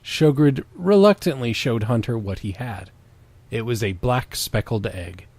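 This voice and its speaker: Guy reading a goofy story and riffing, wry n sweary, normal pitch but really animated. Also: unhurried. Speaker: co-host and reader. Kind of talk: comedic reading with casual riffing.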